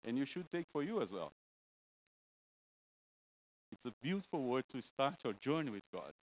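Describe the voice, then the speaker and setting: A male preacher from Brazil speaks with a Brazilian Portuguese accent, delivering a casual church sermon. His English is broken and halting, and he keeps the tone light with jokes at his own expense. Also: mid-range voice, very animated, poor audio. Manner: joking, self-deprecating, broken English. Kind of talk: informal sermon.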